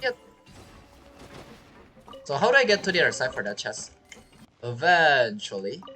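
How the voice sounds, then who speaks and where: A gaming streamer talks casually through play in a bright voice with lively swings of pitch. The delivery is halting and self-directed, with music playing faintly behind. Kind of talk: casual gameplay commentary.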